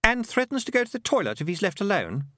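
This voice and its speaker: Man playing an excitable high-voiced twelve-year-old boy. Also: fast talker, no echo, studio quality, heavily acted. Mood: haughty, doubtful. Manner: excitable, emphatic. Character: twelve-year-old lost boy, haughty yet anxious.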